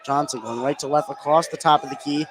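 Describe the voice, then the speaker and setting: A man's voice: fairly high pitch, fairly even intonation, fast. A man doing live play-by-play commentary on a basketball game. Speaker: play-by-play sports announcer. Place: indoor high school basketball game.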